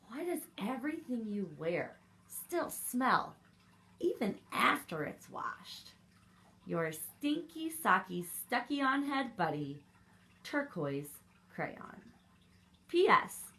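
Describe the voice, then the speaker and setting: A woman's voice: high voice, fairly bright tone, voice pushed up, full character voice. A woman voicing a plaintive, puzzled crayon in need of rescue, from a children's storybook. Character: plaintive turquoise crayon stuck to a smelly sock.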